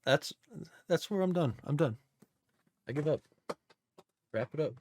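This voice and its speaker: A man's voice: on the high side, lively intonation, a little slow, a little mellow, a little hiss or hum. A man co-hosting a podcast, ranting casually and emphatically with strong opinions about films. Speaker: podcast co-host. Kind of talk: casual opinionated movie chat.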